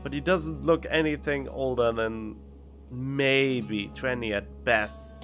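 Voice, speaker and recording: A man's voice: medium pitch, slow pace, a bit bright. A man giving casual let's play commentary as a game streamer, musing and thinking aloud into a close mic. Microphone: close studio condenser microphone. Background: faint game music.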